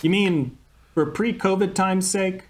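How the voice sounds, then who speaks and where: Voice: mid-range voice, unhurried, lightly acted. A performer playing a chatty old-time radio host who drifts into travel stories, over a video call. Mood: folksy.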